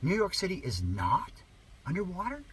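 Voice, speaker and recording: A man performs a satirical reading with heavy sarcasm and mock-earnest emphasis. His voice is bright and forceful, swinging animatedly in pitch. A faint layer of noise sits behind the homemade recording. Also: huge pitch swings, moderate pace. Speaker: reader of a satirical article.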